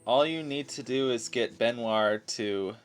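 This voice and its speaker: A man's voice: mid-range voice, unhurried, smooth, faint music behind. A man in his twenties giving casual, rambling commentary over a video game, with a self-deprecating manner. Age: 20s. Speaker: let's play host.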